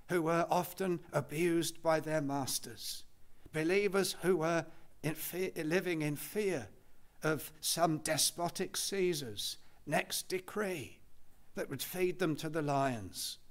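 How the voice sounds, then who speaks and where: An older man, preaching a measured expository sermon at a church lectern. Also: on the high side. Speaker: pastor. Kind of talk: expository Bible study sermon. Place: church hall, indoors. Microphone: lectern microphone.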